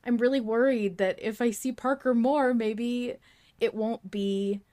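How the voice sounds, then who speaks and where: A woman's voice: fairly high pitch, fairly even intonation, measured pace, mellow. A woman hosting a podcast in casual fan discussion, thinking aloud and hedging as she goes. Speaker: podcast host.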